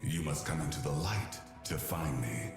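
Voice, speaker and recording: A man voicing an ancient, wise god guardian in a video game, speaking in a grave, measured, formal and patient way. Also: rumbling low, vocal fry. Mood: calm, resolute. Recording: echoing voice over music.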